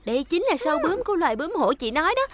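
High-pitched, kindly cartoon big sister. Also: female voice, big pitch swings, rapid-fire, heavy background noise. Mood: cheerful, explaining. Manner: gentle, explaining, encouraging. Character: kind, reassuring big sister guiding young children. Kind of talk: animated children's series dub.

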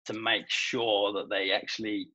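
A British man, a golf coach, giving a measured webinar presentation over a video call, with some hesitations. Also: on the low side, level tone, sharp tone, highs cut off. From Britain.

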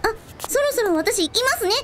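A woman's voice: extremely high pitch, expressive, a little fast, noisy background. A woman playing a cheerful, polite teenage schoolgirl history fan in a radio drama. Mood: bright, polite.

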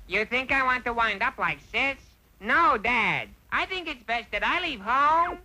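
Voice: mid-range voice, expressive, unhurried, sharp tone. An actor voicing an earnest, aggrieved young prince in a vintage cartoon. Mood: plain, earnest. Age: young adult.

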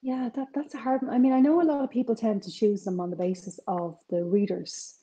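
A woman in her forties with a North Side Dublin accent, a crime author chatting over a video call from her kitchen. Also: fairly high pitch. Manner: chatty, animated, quick asides. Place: home kitchen with shelves.

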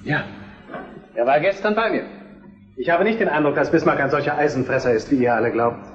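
A man's voice: on the high side, fairly quick, faint music behind, natural voice. A man acting an affectionate, reasonable Prussian crown prince in a historical radio drama, explaining in a conciliatory way. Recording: dull reverberant radio play recording.

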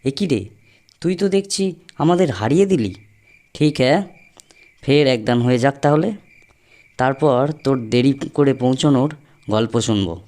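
A man's voice: medium pitch, lively intonation, moderate pace, long pauses, darkish tone, natural voice. A man playing a chatty, teasing young man on holiday with friends in an audio story, talking in exclaiming colloquial phrases.

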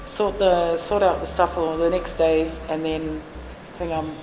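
A woman's voice: low voice, steady tone, unhurried. A woman in her forties who runs a café and trains fitness classes, answering interview questions earnestly with many fillers and restarts. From New Zealand. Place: café, indoors. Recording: echoey room with long reverb and music behind.

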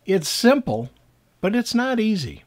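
A man in his sixties speaks as an author and teacher addressing the camera from a prepared script. His voice sits a little higher than usual for a man. He takes his time, setting phrases apart with pauses and leaning on key words. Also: big pitch swings. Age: 60s.

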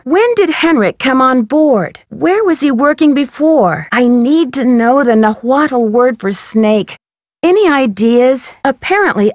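A woman voicing a curious, polite young amateur detective in a video game, asking things in a clear, inquisitive way. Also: high-pitched, dry recording, clean studio sound. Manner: inquisitive, clearly enunciated questioning. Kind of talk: scripted video game dialogue.

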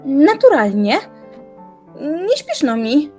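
Actress as defiant young woman for radio play: fairly high voice, very unhurried, formal and argumentative, music underneath. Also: sing-song, a little mellow, exaggerated voice. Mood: guarded. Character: determined, defiant young woman challenging her elder. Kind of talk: audio drama acting, literary dialogue.

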